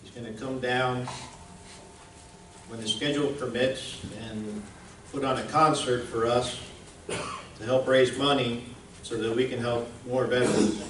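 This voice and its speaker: A man giving an informal presentation, speaking in a plain-spoken, folksy, halting way. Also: medium pitch, flat delivery, a bit bright. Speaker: presenter, radio station founder. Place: indoor museum event room. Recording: roomy, distant mic, clear background noise.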